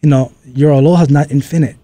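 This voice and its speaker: A man's voice: on the high side, steady tone, fast talker, mellow. A man from Oʻahu, Hawaii, a strength coach as an interview guest, answering thoughtfully and repeating phrases while he searches for words.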